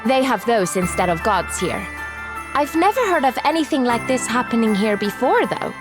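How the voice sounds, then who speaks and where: A woman as a frank, higher-voiced young adventurer. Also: expressive, normal speed, close to an everyday voice. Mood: frank, curious. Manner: casual, matter-of-fact. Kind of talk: video game acting. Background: loud music.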